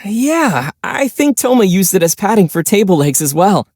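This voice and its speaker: A man voicing a calm young adventurer in a video game, easygoing and measured, with a gently wry, teasing manner. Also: sits high, dry recording, clean studio sound, exaggerated voice. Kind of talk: video game character dialogue.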